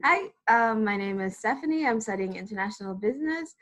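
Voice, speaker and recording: A woman's voice: on the high side, lively intonation, fairly quick, a bit bright. An eighteen-year-old woman from Ireland presenting a webinar as a student, upbeat and promotional.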